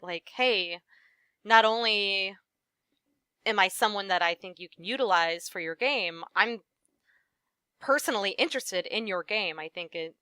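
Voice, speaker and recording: A young woman, a voice actress, answering interview questions in a lively conversational way with frequent "um" and "you know". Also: on the low side, smooth, dry recording. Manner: animated, many fillers like "um" and "you know". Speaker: voice actress guest.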